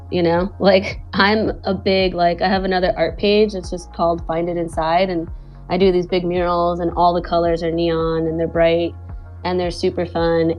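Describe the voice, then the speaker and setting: Woman, fairly low voice, from Venezuela, rambling casual talk, filler words, faint music behind. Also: a little mellow, lo-fi sound. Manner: rambling, full of "like" and "you know". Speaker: guest animator and director. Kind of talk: casual chat in an online audio space.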